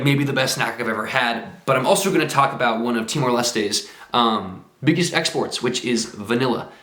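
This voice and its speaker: A man's voice: mid-range voice, a bit bright. A man chatting to camera about his travels, eager and full of exclamations. His voice sits in a middling register and rings in a roomy, echoey space. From United States. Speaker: travel vlogger. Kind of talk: casual travel vlog talk to camera. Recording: roomy echo.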